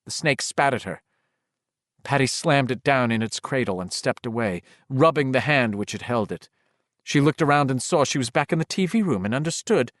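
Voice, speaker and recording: A man narrates a horror audiobook in a mid-pitched voice, recorded close and dry. His reading is dramatic and suspenseful, with expressive shifts in intensity. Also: no room sound.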